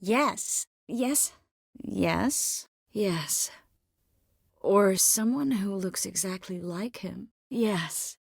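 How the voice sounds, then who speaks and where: An actress playing a poised, caring yet firm sorceress in scripted video game dialogue. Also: female voice, mid-range voice, drawn-out words, vocal fry, breathy edge, no room sound, clean studio sound, full character voice. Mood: earnest.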